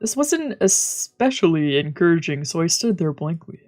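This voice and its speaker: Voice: medium pitch, very animated, normal speed, darkish tone. A streamer reading visual novel narration aloud, with casual asides, while music plays behind. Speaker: streamer, let's player.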